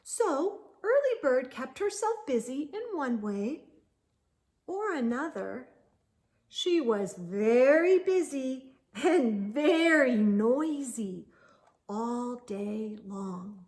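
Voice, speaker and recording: A woman hosting children's storytime, reading a picture book aloud expressively with deliberate, drawn-out phrasing. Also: high-pitched, very animated, darkish tone. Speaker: children's storytime host and reader.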